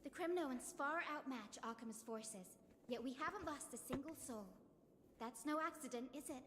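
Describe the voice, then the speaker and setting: A poised, diplomatic envoy, with a high voice and probing delivery. The game acting sits in long reverb with faint ambient noise. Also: female voice, normal speed, fairly bright tone. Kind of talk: scripted fantasy game acting.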